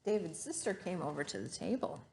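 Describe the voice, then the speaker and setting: A woman's voice: on the low side, sing-song, a little fast, gravelly, a little mellow, slight background noise. A woman reading a story aloud to children like a teacher, adding explanations and questions for the listener. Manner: didactic, asks the listener questions.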